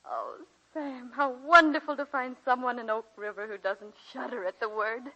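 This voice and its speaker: Husky, bright-voiced genteel aunt. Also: female voice, a little high, fairly even intonation, moderate pace, lo-fi sound. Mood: confiding. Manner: genteel, earnest. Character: refined, warm-hearted unmarried college teacher, an aunt. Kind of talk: 1940s radio acting. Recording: vintage 1940s radio broadcast.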